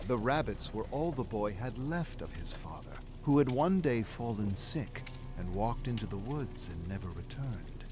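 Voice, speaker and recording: A man's voice: lowish voice, animated, normal speed, hardly any pauses, noisy background. A man streaming a game, reading lore passages aloud and breaking off to react casually and swear freely. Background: game music.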